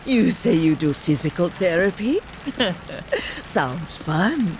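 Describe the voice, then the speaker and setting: Slow-talking, doddering old granny. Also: female voice, fairly low pitch, sing-song, noisy background. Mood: pleasantly muddled. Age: older. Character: confused, cheerful elderly care-home granny. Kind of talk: English game dub acting.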